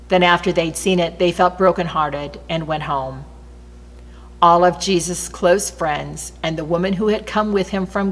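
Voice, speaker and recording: Low-voiced woman reading scripture in reverberant hall. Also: a bit bright. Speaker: scripture reader. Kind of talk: expressive read-aloud Bible narration. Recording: long reverberant hall echo.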